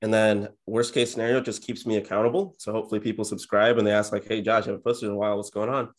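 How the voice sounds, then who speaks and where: A man in his twenties chatting casually as an interview guest on a video call, in a low-key, monotone manner. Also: racing. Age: 20s. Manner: low-key, introverted, self-described monotone. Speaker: interview guest, real estate agent and YouTuber. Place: indoor home office, on a video call.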